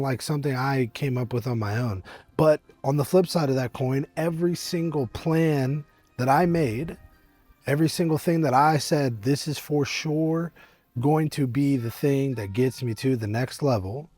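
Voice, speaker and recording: A young man with a husky, deep voice, talking earnestly to camera outdoors at a winery, rambling with many fillers and restarts as a business coach. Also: a little mellow, no room sound. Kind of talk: casual motivational talk to camera. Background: faint eighties music.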